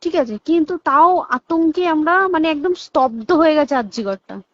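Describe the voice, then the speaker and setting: High-pitched Kolkata Bengali woman, explaining insistently. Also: expressive, moderate pace, lo-fi sound. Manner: explanatory, insistent, often checking the listener follows. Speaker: hospital worker. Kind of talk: casual private conversation, recounting events.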